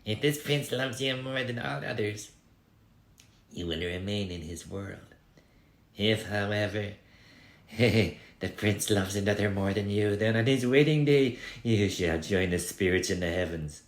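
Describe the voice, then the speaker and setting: A man voicing a sly, menacing female sea witch in a storybook reading, with a cackling, drawn-out way of bargaining. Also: lowish voice, roomy sound, voice pushed up.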